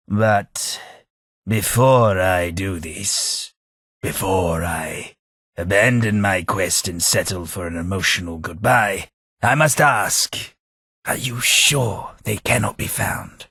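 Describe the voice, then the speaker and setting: Slow, husky old British gentleman. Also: fairly low pitch, no room sound, studio quality, heavily acted. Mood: earnest, reflective. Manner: formal, wordy, measured. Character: melancholy, formal, gentlemanly old former taxman companion. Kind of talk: video game character dialogue.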